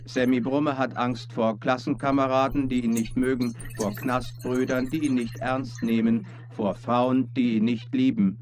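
Man acting an emotionless announcing machine, children's radio play, deadpan, very flat intonation. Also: medium pitch, unhurried, hardly any pauses, a little hiss or hum, natural voice. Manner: monotone, deadpan, matter-of-fact. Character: deadpan fear-measuring machine announcing results.

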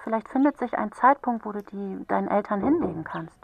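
A woman playing a kind, patient helpline counsellor for children in a radio play, gently explaining and encouraging. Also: mid-range voice, animated, fairly quick, vocal fry, no room sound, rough recording. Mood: gentle, encouraging.

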